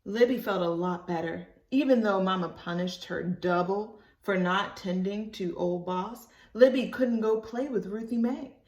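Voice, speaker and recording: A woman reads a children's storybook aloud expressively, stopping for animated asides to her young listeners. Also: mid-range voice, unhurried, hardly any pauses, reverberant. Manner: animated, addresses listeners as friends.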